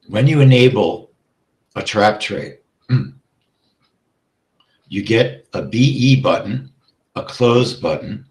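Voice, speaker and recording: A man with a deep, low voice walks through a live trade at an unhurried pace. His voice is going hoarse, and he clears his throat often between steps. The sound is roomy and echoey. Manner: steady, explains step by step, often checks with "okay?". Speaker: trading instructor. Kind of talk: live trading walkthrough.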